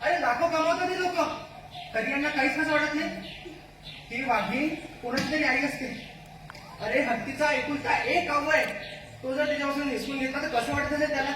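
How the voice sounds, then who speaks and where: A man's voice: very high-pitched, steady tone, brisk, pushed and bright. A man acting a fierce, vengeful jungle animal in a stage play, declaiming accusations and rhetorical questions. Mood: outraged. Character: vengeful, fierce jungle animal who wants captive humans killed. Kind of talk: Marathi one-act stage play acting. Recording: echoey hall from far off, noisy.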